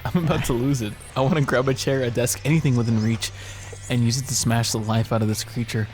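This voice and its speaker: A man's voice: mid-range voice, fairly even intonation, fast, darkish tone, soft background music. A young man reading game text aloud in a casual let's play, joking and theatrical. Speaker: gaming video co-host.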